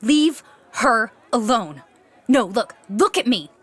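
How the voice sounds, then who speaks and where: Fiery young heroine: high, swooping, exasperated and outspoken, for fantasy audio comedy. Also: female voice, big pitch swings, takes it slowly, a bit bright, a faint crowd, exaggerated voice. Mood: furious. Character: fiery, indignant young female aspiring beastmaster.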